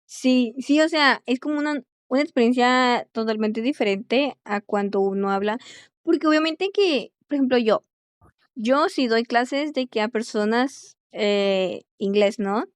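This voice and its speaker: A young woman, an English teacher, chatting quickly in a casual conversation and filling her pauses with este. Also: on the high side, smooth, dry recording. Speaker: English teacher and language student.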